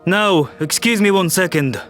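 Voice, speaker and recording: A man's voice: high-pitched, moderate pace, fairly bright tone, soft background music. A man playing a harried human world leader, tense and exasperated.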